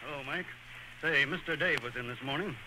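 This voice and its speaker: An actor playing a brisk, jovial movie studio man in an old radio drama. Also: mid-range voice, lightly acted. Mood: brisk, friendly. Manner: brisk, animated. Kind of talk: 1940s radio acting. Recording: noisy old radio broadcast.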